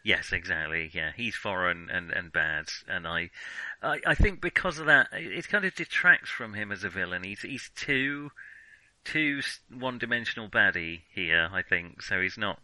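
A man casually discussing films as a podcast host, speaking in halting, hesitant phrases. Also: deep voice, lively intonation, bright, forceful tone, low-quality recording.

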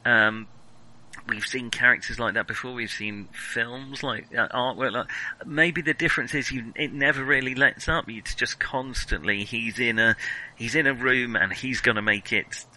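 A man's voice: medium pitch, steady tone, normal speed, bright, forceful tone, low-quality recording. A man co-hosting a film podcast in casual discussion, hesitant and self-questioning yet blunt in his opinions. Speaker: podcast co-host reviewing films.

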